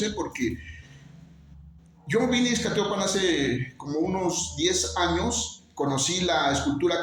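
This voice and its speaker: A middle-aged Mexican man whose first language is Nahuatl, a teacher and songwriter being interviewed, talking with fervor and stacking emphatic lists. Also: a little high. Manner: passionate, orating, repetitive emphatic lists. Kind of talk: interview, earnest explanation. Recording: very echoey with faint noise.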